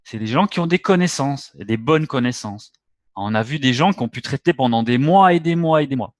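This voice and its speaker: A man's voice: medium pitch, expressive, fairly quick, no room sound, clean studio sound. A man hosting a trading webinar, talking bluntly and lecturing his viewers directly. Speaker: webinar host.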